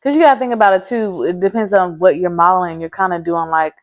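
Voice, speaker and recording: A woman co-hosting a casual interview, animated and agreeable, acting out quotes as she shares her own experiences. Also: lowish voice, fast. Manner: animated, acts out quotes, agreeable back-channeling. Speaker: co-host.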